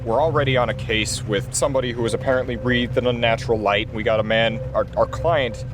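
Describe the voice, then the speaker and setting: A man's voice: medium pitch, steady tone, fast, music in the background. A man playing a tabletop role-playing game, improvising his actions and dialogue casually.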